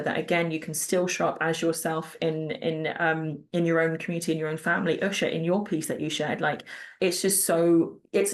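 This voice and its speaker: A woman hosting an online panel discussion, speaking in an affirming, appreciative manner. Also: deep voice. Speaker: panel host. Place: online video call.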